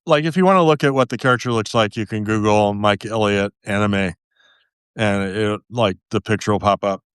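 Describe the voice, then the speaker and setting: A man's voice: lowish voice, normal speed, a bit bright, clear, resonant tone, no echo, clean studio sound. A man guesting on a podcast, chatting casually in a self-deprecating way and laughing often at himself. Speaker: podcast guest, game designer. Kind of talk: casual interview chat.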